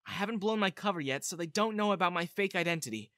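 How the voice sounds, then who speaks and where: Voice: mid-range voice, lively intonation, fast talker, a bit bright, dry recording, studio quality, natural voice. A narrator playing an urgent, determined female spy. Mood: businesslike, urgent. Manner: pleading, urgent, resolute. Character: earnest, determined female Russian spy seeking shelter. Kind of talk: audiobook-style character dialogue.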